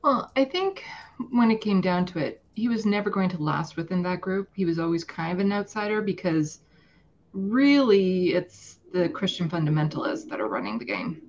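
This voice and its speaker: A woman with a fairly low voice talks casually as a podcast guest. The sound is a little muffled and lo-fi as she lays out her opinions. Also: big pitch swings, moderate pace, slight background noise. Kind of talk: casual political discussion.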